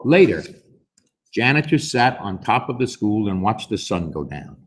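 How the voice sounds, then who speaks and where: A man in his sixties with a husky voice, reading a picture book aloud to young children in an expressive, unhurried way. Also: fairly low pitch. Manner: expressive, unhurried, with emphatic swings. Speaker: story time host reading aloud. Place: school library, indoors.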